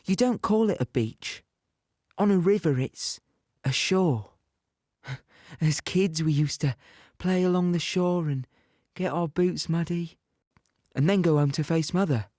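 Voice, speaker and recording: An actor playing a plainspoken, weary infantryman in an audio play, reminiscing in a halting, reflective, conversational way. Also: a little high, darkish tone, no room sound, studio quality, close to an everyday voice. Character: young British infantryman recounting war, plainspoken, weary, wistful. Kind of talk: audio play monologue, reminiscing in present tense.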